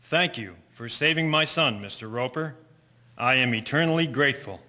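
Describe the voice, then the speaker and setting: Actor as bright-voiced, concerned father, reverberant. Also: mid-range voice, animated, normal speed. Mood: grateful, tender. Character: concerned, grateful father of a teenage boy. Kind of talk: audio drama acting. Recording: reverberant, faint noise and clicks.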